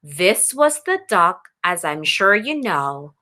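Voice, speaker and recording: A woman's voice: fairly low pitch, big pitch swings, slow pace, bright, forceful tone. A woman teacher reading a picture book aloud expressively to young children, addressing them directly and instructively.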